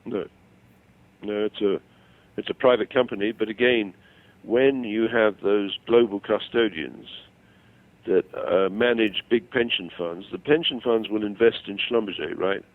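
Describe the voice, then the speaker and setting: A man's voice: on the low side, unhurried, no echo. Older man on a tinny phone line. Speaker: phone-in guest. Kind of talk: conversational explanation and opinion over the phone. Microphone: telephone. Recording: tinny narrow-band phone call.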